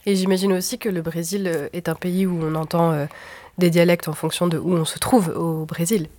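Low-voiced woman hosting a radio interview. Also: flat delivery, mellow. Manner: measured, with filler pauses. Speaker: radio host.

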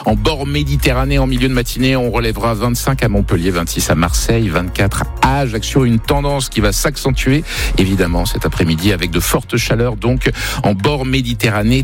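A man's voice: fairly low pitch, animated, brisk. A man presenting the weather and chatting with listeners on a live morning radio show. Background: music bed.

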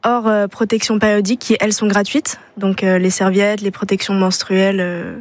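A woman's voice: medium pitch, little pitch movement, moderate pace, no room sound. A young woman, a student charity vice-president, answering radio interview questions hesitantly, with frequent filler sounds.

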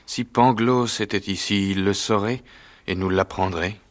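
A man's voice: on the low side, steady tone, unhurried, natural voice. A man voicing Candide, a naive, earnest young man, in a storybook reading; he grows exclamatory when moved. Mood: musing.

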